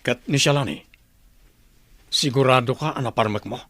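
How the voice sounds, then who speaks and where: Guy playing stern boss of armed group, ilocano radio drama, medium voice, measured, dry studio sound. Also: mid-range voice, fairly bright tone, no room sound, lightly acted. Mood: stern, grave.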